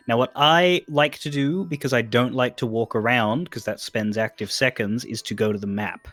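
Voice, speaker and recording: A man's voice: on the low side, fairly bright tone, no room sound. A man giving casual game commentary, explaining things hesitantly as he thinks aloud. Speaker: stream co-host guiding a player.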